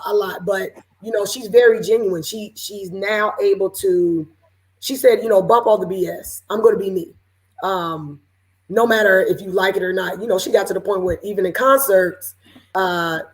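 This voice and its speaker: A woman from Seattle with a raspy voice, hosting a casual panel chat over a video call with lots of fillers. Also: mid-range voice, rough recording. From Seattle, Washington. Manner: relaxed, chatty, lots of fillers. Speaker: panel host.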